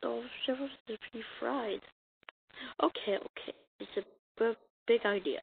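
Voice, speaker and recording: A woman reading a comic dub as an amateur voice actor, acting out the dialogue in a halting, stumbling way on a muffled, noisy recording. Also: a little high, creaky. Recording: muffled lo-fi recording with noise and clicks.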